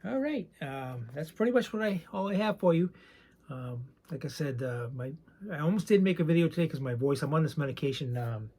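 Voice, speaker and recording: Soft, raspy-voiced man chatting. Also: fairly high pitch, expressive, a little fast. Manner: soft-spoken, not loud; rambling, hesitant with many ums. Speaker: card collecting channel host. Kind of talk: casual show-and-tell chat.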